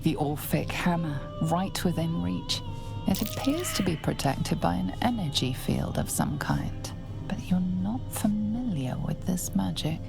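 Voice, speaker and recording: A woman playing a calm, mysterious video game narrator, telling the story in measured, suspenseful phrases. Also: fairly low pitch, gravelly, music in the background, full character voice. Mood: hushed suspense. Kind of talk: scripted second-person game narration.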